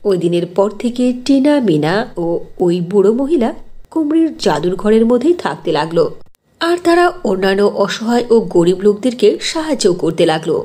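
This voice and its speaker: Mellow, lively woman storyteller. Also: mid-range voice, fairly quick, husky. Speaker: narrator. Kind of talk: scripted fairy tale narration.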